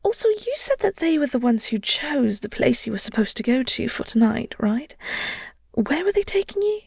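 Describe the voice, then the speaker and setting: A woman playing a flirty, tender vampire in an intimate audio roleplay, breathy and half-whispered, with a halting, teasing delivery. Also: sits high, sing-song, darkish tone, dry recording, clean studio sound, exaggerated voice. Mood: disapproving. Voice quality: breathy, husky. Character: flirty, gentle vampire nemesis comforting a heartbroken human.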